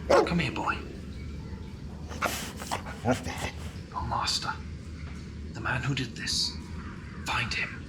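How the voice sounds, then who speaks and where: A man's voice: mid-range voice, extremely slow, long pauses, very noisy. A man playing a stern pursuer hunting a killer in an audio drama, urgent and commanding. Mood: grim. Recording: music behind.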